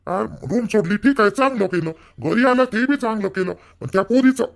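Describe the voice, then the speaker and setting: A man playing a high-pitched, creaky village father. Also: lively intonation, fairly quick. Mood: indignant. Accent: Varhadi Marathi from Vidarbha. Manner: colloquial, argumentative. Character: irritable middle-aged village father arguing with his family. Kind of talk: Marathi cartoon family drama dialogue.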